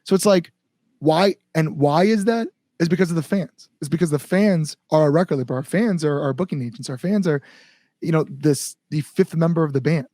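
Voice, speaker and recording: Higher-voiced American man, earnest and casual. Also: fairly quick, mellow, dry recording. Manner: earnest, informal with mild swearing. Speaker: band front-man being interviewed. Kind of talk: casual conversational interview.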